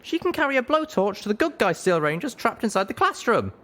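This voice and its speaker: A man voicing an eager young female pony, plucky and clever, in an excited girlish delivery. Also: high-pitched, fairly quick, pitched above the performer's own voice, exaggerated voice. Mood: eager, urgent.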